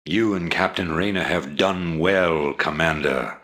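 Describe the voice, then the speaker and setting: An extremely deep-voiced rebel commander from a sci-fi game. He is calculating and authoritative, delivering orders with measured formality and a bright, forceful edge. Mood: grave, commanding. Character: commanding, calculating older male rebel leader. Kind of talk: video game mission briefing.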